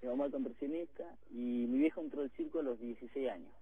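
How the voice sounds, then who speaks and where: A man's voice: mid-range voice, fast. Young man on a phone line. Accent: Rioplatense Spanish. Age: 20s. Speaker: caller, circus performer. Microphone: telephone.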